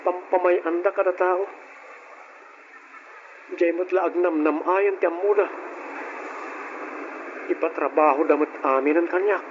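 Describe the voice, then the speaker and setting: A man's voice: on the high side, natural voice. A man playing a meek, weary, put-upon young nephew in a radio drama, speaking with an Ilocano accent. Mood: weary, grumbling. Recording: muffled, noisy old radio drama.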